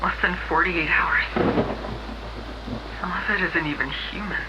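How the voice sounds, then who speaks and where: A man's voice: high voice, measured pace, highs cut off, heavy background noise. A man playing a tense field soldier in a horror video, reporting in a clipped, urgent way. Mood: alert. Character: tense male field soldier tracking monsters. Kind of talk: analog horror radio acting.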